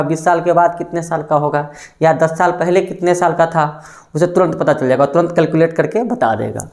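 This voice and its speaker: A man's voice: a little high, a little mellow. A man teaching a maths lesson for exam preparation. He calls his listeners friends, asks the class a question and then answers it himself, repeating the answer.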